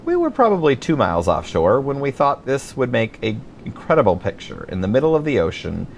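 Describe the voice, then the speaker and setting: A man reading his own humorous memoir as an audiobook, with an animated, dramatizing storyteller's delivery. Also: medium pitch, a little fast, gravelly, slight background noise.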